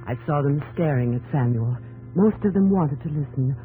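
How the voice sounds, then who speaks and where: A woman's voice: deep voice, animated, moderate pace, narrow, tinny audio. An actress playing a devoted wife who looks back on life with her husband, in the style of 1950s radio drama narration. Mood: reflective. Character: devoted wife of a mill pioneer, reminiscing. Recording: old 1950s radio broadcast, music behind.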